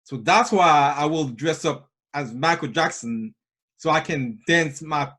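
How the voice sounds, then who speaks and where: A man's voice: on the high side, lively intonation, a little slow, fairly bright tone. A man giving an earnest, plain-spoken impromptu club speech over a video call, often repeating himself. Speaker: Toastmasters speaker.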